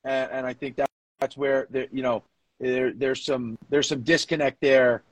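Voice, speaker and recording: A man co-hosting a casual sports podcast, talking in a halting way, circling back over phrases and swearing casually. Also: medium pitch, little pitch movement, no room sound, poor audio. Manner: halting, repeats phrases as he works out a point. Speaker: podcast co-host; competitive powerlifter.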